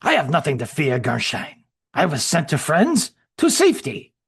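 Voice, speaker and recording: A man doing a cruel Nazi soldier in a comic-book reading, all threats and a thick mock German accent. The voice is pushed well above a normal speaking pitch and swings widely. It has a husky edge. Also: huge pitch swings, moderate pace, exaggerated voice. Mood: bewildered. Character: ruthless German Nazi flamethrower soldier, male, adult.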